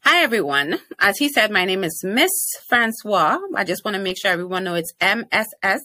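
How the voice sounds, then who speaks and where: Trinidadian lady guest on a podcast, bright voice, confident and playful, laughs a lot. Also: mid-range voice, animated, fairly quick, dry recording. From Trinidad and Tobago. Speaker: podcast guest, TV host and speaker. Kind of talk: casual interview chat.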